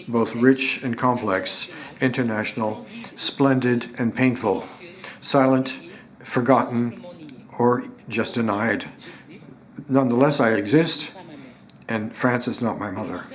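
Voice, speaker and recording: A man speaks at an ordinary middle pitch, interpreting a lecture live. His delivery is halting, with frequent restarts and repeated words as he catches up with the speaker.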